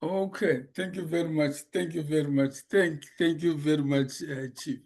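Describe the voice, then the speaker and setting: A man from Zimbabwe moderating an online forum, polite and unhurried, speaking over a video call. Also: on the high side, steady tone, gravelly, a little mellow. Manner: unhurried, polite, with thanks and fillers.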